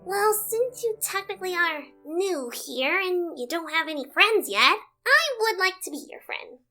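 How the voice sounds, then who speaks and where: A woman voicing a friendly, sassy young draconequus girl who is eager to play, in an animated drama. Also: very high-pitched, normal speed, soft background music, heavily acted. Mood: kind, eager. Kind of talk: animated audio drama acting.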